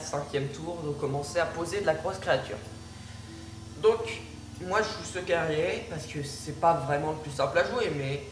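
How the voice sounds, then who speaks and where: A man's voice: fairly high pitch, recorded from a distance, background noise. Man with a cold, roomy sound. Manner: hesitant, many fillers and restarts. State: has a cold. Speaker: gaming video creator. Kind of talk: casual gameplay commentary. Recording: echoey room, off-mic, music behind. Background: background music.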